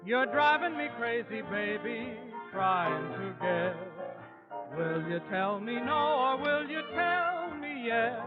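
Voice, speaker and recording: A man's voice: very high-pitched, animated, slow. A man singing as a crooner on a 1940s radio show. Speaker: tavern show singer. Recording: dull old radio recording with music.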